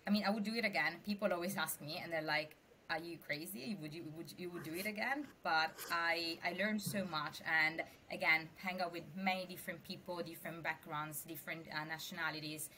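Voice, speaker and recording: A woman's voice: fairly low pitch, fairly quick, bright, forceful tone, a little hiss or hum, low-quality recording. A young adult woman from Sicily with an Italian accent, chatting animatedly as a guest in an interview. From Sicily, Italy. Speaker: venture capital investor, guest. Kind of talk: casual interview talk.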